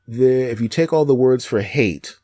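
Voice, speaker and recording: Fifty-something man from Philadelphia, comic storyteller, mid-pitched, dry close sound. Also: no room sound. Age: 50s. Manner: animated joking storyteller, quick asides. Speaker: guest, comedian. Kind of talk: casual chat.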